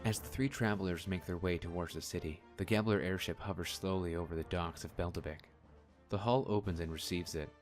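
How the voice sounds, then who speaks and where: Very deep-voiced man narrating. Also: steady tone, music in the background. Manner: measured, descriptive. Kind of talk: scripted drama narration.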